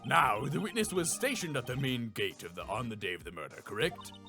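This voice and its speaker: A man's voice: mid-range voice, lively intonation, fairly quick, over music, voice pushed down, lightly acted. A man voicing formal, theatrical courtroom figures for a video game, pompous and declamatory. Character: theatrical, formal male courtroom lawyer and judge.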